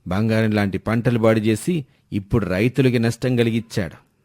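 A man's voice: on the low side, normal speed, darkish tone, dry recording, clean studio sound, natural voice. A man playing a stern, exasperated but yielding father, reproachful and grumbling, in a moral story.

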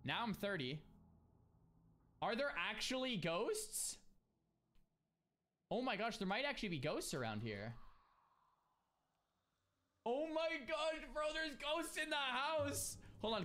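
A man's voice: very high-pitched, measured pace, long pauses. A young man streaming a video game from a small room on a headset mic, puzzled and then thrilled as he talks through what is happening. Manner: excitable, puzzled, exclaiming. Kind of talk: live gameplay commentary.

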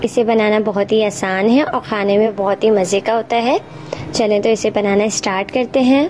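A woman's voice: medium pitch, steady tone, moderate pace, a little mellow, a little hiss or hum. A woman home cook giving a step-by-step recipe voice-over. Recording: echoey room, music underneath. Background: background music.

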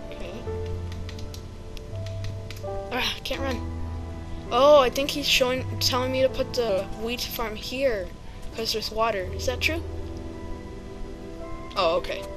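A woman's voice: on the high side, a little slow, pauses often. A woman giving casual let's-play commentary, chatty and hesitant with many ums and playful sound words. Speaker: video game player. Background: background music.

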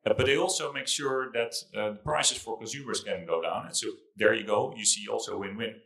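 A middle-aged man giving a keynote speech to an audience through a handheld microphone, with a halting delivery and repeated words. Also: on the low side, gravelly, fairly bright tone. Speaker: conference keynote speaker, investment fund chief executive. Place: large indoor conference hall stage.

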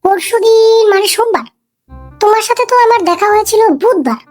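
Lady doing a bossy young cartoon girlfriend, super high voice, coy n scheming. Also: animated, brisk, a little mellow. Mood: scolding. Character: scheming, bossy young girlfriend, sweet-talking and sharp-tongued. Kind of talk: comic cartoon dialogue. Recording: music behind the voice.

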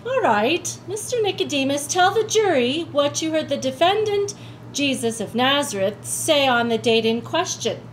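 A woman plays a brisk prosecutor grilling a witness in a radio play. Her voice is high, with a faint hiss behind it. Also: big pitch swings, low-quality recording. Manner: brisk, questioning. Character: brisk female courtroom prosecutor.

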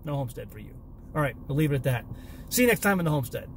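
A man's voice: on the high side, sing-song, rapid-fire, slight background noise. A man in his thirties hosting a homesteading channel, talking to a phone held at arm's length in a parked car, emphatic and repeating words for emphasis. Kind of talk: casual selfie vlog rant. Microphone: phone or camera at arm's length.

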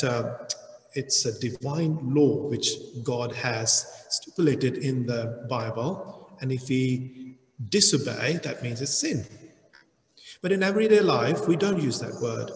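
Slow-preaching man in an echoey church hall. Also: mid-range voice. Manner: slow, deliberate preaching with emphatic rises. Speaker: pastor. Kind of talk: sermon.

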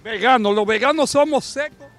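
Dominican guy reporting on the street, real high voice, quick and friendly, stopping people. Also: a bit bright. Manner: brisk, friendly, calls out to passersby. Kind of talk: street vox pop interviews. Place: busy city sidewalk outdoors. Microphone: handheld mic.

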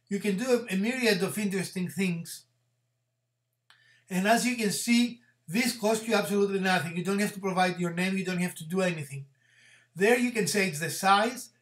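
A man in his fifties giving an explanatory hobby tutorial to camera, speaking in measured, halting phrases and repeating words. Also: high-pitched, a bit bright. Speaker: presenter of a DIY hobby channel.

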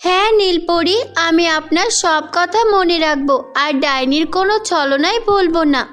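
A woman's voice: very high voice, measured pace, a bit bright, soft background music. A woman voicing a brave, good-hearted young girl in a children's fairy-tale cartoon, speaking earnestly. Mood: resolute.

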